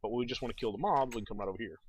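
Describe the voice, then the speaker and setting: A man's voice: mid-range voice, rapid-fire. A man giving casual gameplay commentary as a let's play host, thinking aloud and talking to viewers. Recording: dull, noisy home recording.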